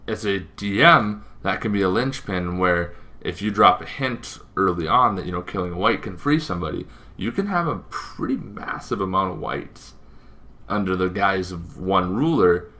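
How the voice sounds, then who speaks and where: A man hosting a casual explainer on tabletop roleplaying lore, talking informally and haltingly with frequent asides. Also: low-pitched, pushed and bright. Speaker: tabletop gaming video host.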